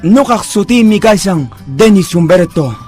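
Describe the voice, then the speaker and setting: A man's voice: sits high, expressive, measured pace, dark tone, faint music behind. A man from Peru with a southern Andean accent, introducing himself as a radio drama actor. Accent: southern Peruvian Andean. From Melgar, Peru. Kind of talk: self-introduction to audience.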